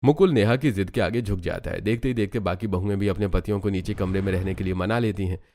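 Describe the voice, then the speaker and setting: A man's voice: low voice, fast, dark tone. A man narrating a scripted cartoon story.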